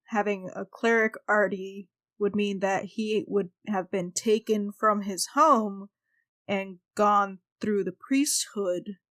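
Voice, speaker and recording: A woman podcaster chatting casually and unscripted, pausing often to reformulate her thoughts. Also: mid-range voice, fairly bright tone. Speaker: podcast cast member and player.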